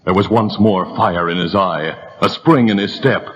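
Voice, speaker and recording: A man's voice: deep voice, moderate pace, highs cut off, slight background noise. A man narrating a 1930s radio adventure drama with a vivid, building delivery.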